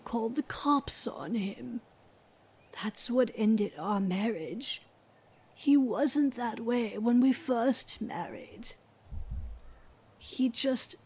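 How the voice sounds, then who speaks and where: A woman playing a weary, embittered ex-wife living in seclusion, in a radio drama, speaking in a breathy hush with blunt resignation. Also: a little high. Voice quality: breathy, hushed. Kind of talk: scripted radio drama dialogue.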